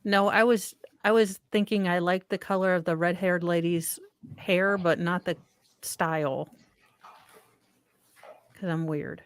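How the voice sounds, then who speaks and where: A woman with a fairly low voice chats casually and adds wry, opinionated asides on courtroom footage. The recording is close and dry. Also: fairly even intonation, measured pace, pauses often, clear, resonant tone, no room sound. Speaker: co-host commenting on a trial. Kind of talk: casual commentary chat.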